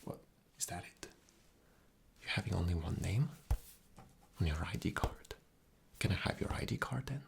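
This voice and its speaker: A man playing an arrogant, rude boss in a whispered ASMR interview roleplay, breathy, condescending and dismissive. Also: low-pitched, animated, normal speed, pauses often, a little hiss or hum. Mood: impatient, condescending.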